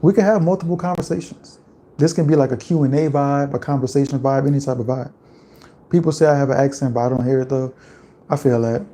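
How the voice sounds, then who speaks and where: Louisiana guy on a livestream, laid back and rambly, lots of "like", warm mellow tone. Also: mid-range voice, fast. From Louisiana, USA. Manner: relaxed, chatty, rambling with many "like" fillers. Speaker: livestream host. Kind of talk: casual livestream chat reading viewer comments.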